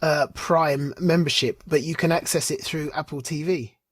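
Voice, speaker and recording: A podcast co-host chatting casually and emphatically about geek culture, with an animated, opinionated way of talking. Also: mid-range voice, moderate pace, no echo, studio quality.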